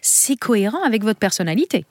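A woman radio host at a mid-range pitch leads the conversation with courteous, complimentary questions. Her voice sounds close and dry. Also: big pitch swings, brisk, a little mellow, no echo. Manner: polite, complimentary, guiding questions. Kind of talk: radio interview.

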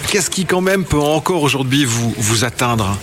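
A man's voice: medium pitch, lively intonation, normal speed, over music. A man hosting a radio interview in a conversational style. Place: outdoor hotel garden in a big city. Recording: clear ambient noise, traffic and construction works.

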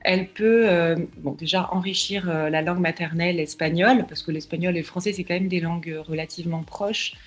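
A woman's voice: fairly low pitch, steady tone, fairly quick, hardly any pauses. A woman speaking as a child language expert, explaining with earnest, patient advice and frequent hesitations. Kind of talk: advice interview.

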